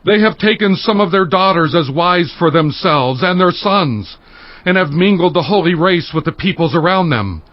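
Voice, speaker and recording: A man playing an indignant Israelite leader in a dramatized Bible reading, declaiming forcefully. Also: sits high, lively intonation, moderate pace, dry recording. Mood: grave accusing. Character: indignant Israelite leader reporting his people's unfaithfulness. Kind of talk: dramatized Bible audio acting. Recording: dull lo-fi audio.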